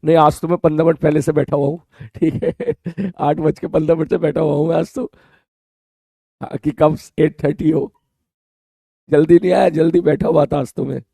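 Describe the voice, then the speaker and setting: Hoarse teacher, man, chatty. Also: fairly high pitch, fairly even intonation, normal speed, warm tone, no room sound, clean studio sound. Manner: chatty, repeats "theek hai" often, calls students beta. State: hoarse, sore throat. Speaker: online teacher. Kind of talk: casual talk to students in a live class.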